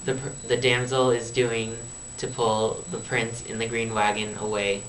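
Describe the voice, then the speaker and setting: A man's voice: medium pitch, level tone, a little slow, fairly bright tone. Man reading a lesson in echoey room. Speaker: physics explainer. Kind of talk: scripted educational narration. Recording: roomy, distant, noisy amateur recording.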